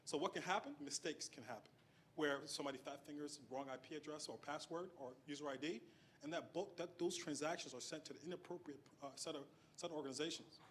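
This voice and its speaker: A man pitching with a slightly raised voice. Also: fast talker, a bit bright, slight background noise. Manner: explanatory, checking in with the audience. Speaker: startup team member pitching. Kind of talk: presentation pitch.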